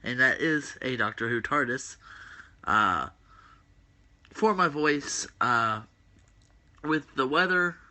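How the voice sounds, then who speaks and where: A man's voice: medium pitch, expressive, slow, long pauses, pushed and bright. A man with a groggy voice hosting a casual livestream, rambling with many fillers and answering viewers' comments. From West Virginia. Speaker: host of a wrestling predictions stream.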